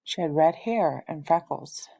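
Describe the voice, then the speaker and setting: A woman reading the part of a gentle, reserved preacher father in a storybook, in a measured, deliberate way. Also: sits low, animated, vocal fry, heavily acted. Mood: wistful.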